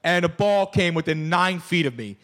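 Male sports-podcast host, high-pitched, excitable, rambling. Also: moderate pace. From Philadelphia area. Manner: animated, stammering restarts, mock play-by-play calls. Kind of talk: casual sports chat.

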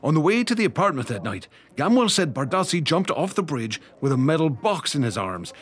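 Animated Irish beat cop. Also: male voice, fairly high pitch, fairly quick. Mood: gossipy. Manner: colorful, animated, folksy. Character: earthy, superstitious Irish beat policeman, talkative and deferential. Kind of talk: 1930s-style radio drama acting.

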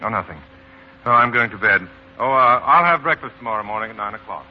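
Brisk, bewildered gentleman, mid-range voice with lively inflection, vintage radio play, muffled sound. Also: lowish voice. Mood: puzzled. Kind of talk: 1940s radio acting. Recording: muffled, hissy 1940s radio broadcast.

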